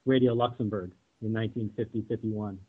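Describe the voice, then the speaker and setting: A man giving casual, thoughtful interview answers as a guest, speaking haltingly over an internet call. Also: fairly low pitch, steady tone, breathy edge. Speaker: interview guest, audio drama producer. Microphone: remote audio call. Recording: thin, phone-like internet call.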